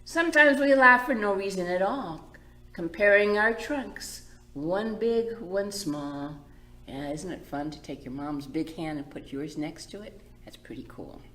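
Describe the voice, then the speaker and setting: A woman's voice: lowish voice, a little slow, fairly bright tone. A middle-aged woman hosting a children's storytime, reading a picture book aloud and putting simple questions to young listeners. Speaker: children's librarian and storytime host. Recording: roomy echo.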